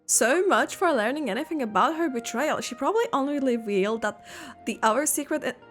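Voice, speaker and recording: A woman's voice: sits high, expressive, a little fast, a bit bright. A woman streamer giving casual, informal live reaction commentary on a video game, echoing lines as she goes. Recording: game music behind the voice.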